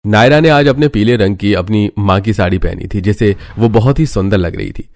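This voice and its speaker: A man's voice: on the low side, normal speed, a little mellow, no echo. A man reading a scripted story as its narrator.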